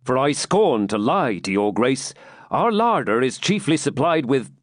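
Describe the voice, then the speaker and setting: A man's voice: mid-range voice, big pitch swings, measured pace, no room sound, clean studio sound, voice pushed up. A man voicing a gallant, proud outlaw leader in a dramatic storybook reading, with courtly, archaic speech. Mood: sheepish. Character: gallant, proud male outlaw leader, loyal to his king.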